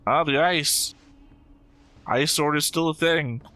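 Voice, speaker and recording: A man's voice: on the high side, takes it slowly, bright, forceful tone. A man hosting a reaction show, talking casually and argumentatively with frequent swearing. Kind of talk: casual discussion, reacting to an animated series.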